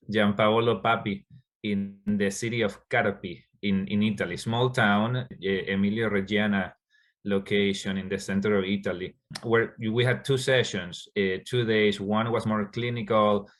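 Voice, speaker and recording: Man from Ecuador, Spanish speaker, low voice, monotone, halting with fillers, doctor in an interview. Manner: halting, many fillers and restarts. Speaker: endocrinologist guest. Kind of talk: interview answers.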